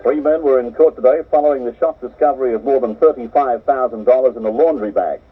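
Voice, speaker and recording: An Australian man reading a formal radio news bulletin in a measured, steady way. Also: medium pitch, soft background music. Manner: measured, steady, crisp delivery. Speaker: radio newsreader. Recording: muffled cassette of an off-air radio broadcast, voice a little distant.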